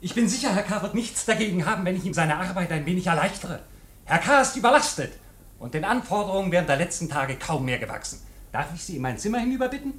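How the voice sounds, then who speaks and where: An actor playing a sly, smug deputy bank director in a German radio play, over-polite and needling. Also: male voice, sits high, animated, quick pace, fairly bright tone. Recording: echoing room sound.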